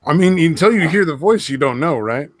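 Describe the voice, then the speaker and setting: A man's voice: a little high, lively intonation, a little fast, no room sound, studio quality. A man co-hosting a casual podcast from home over voice chat, crude and rambling, laughing often. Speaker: podcast co-host.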